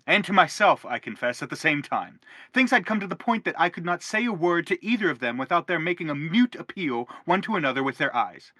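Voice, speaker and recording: Man acting anguished middle-aged father, theatrical, wordy, insistent, sharp bright tone, dry close sound. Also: on the high side, no room sound, heavily acted. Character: anguished, self-justifying middle-aged father, pompous and exasperated. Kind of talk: theatrical stage drama acting.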